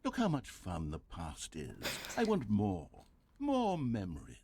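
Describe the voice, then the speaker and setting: A man's voice: fairly low pitch, huge pitch swings, measured pace, mellow, slightly rough, exaggerated voice. A man playing a pompous, sentimental game narrator who is wistfully obsessed with the past, in theatrical, wordy narration. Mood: eager longing.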